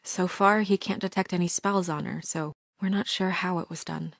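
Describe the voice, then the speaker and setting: A woman acting in a video game as a composed, weary and stern administrator who speaks in a crisp, businesslike, quietly troubled way. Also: on the low side, steady tone, moderate pace, no room sound, studio quality. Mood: businesslike, firm.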